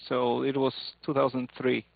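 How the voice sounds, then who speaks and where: A man in his forties from Poland with a Polish accent shaded by English, chatting on a video call and pausing often on fillers. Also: medium pitch, monotone, vocal fry, no room sound. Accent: Polish, with some English. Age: 40s. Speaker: new club member. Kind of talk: casual video call chat. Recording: tinny call audio.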